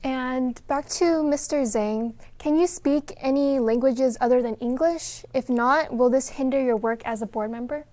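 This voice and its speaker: A woman moderator reading prepared questions to candidates in a measured, formal way. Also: high voice, mellow, no echo. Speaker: forum moderator.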